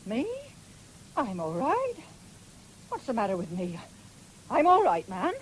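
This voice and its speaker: A woman's voice: medium pitch, wildly swooping pitch, slow, rough recording. An actress playing a proud, stubborn ageing farm woman in a radio drama, speaking in a brusque, defiant way. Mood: testy. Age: older. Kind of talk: 1970s British radio drama acting. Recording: faintly hissy old radio broadcast.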